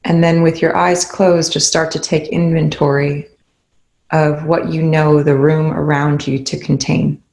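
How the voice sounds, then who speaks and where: Meditation guide, creaky fry, fairly even intonation, soothing and unhurried, roomy echo. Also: medium pitch. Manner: soothing, unhurried, gentle instruction with long pauses.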